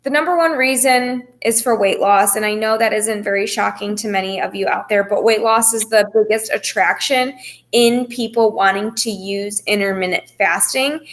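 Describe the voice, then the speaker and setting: A woman's voice: mid-range voice, a bit bright. A woman speaking as a fitness coach, explaining things informally to a group in a reassuring, careful way. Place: phone call presentation.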